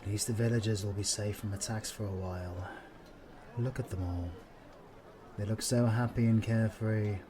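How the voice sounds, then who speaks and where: A man's voice: lowish voice, steady tone, normal speed, background noise, close to an everyday voice. A British man playing a stern, aloof veteran demon hunter in a fantasy audio roleplay, speaking haughtily and formally to the listener. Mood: aloof, dry. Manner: haughty, clipped, dryly formal.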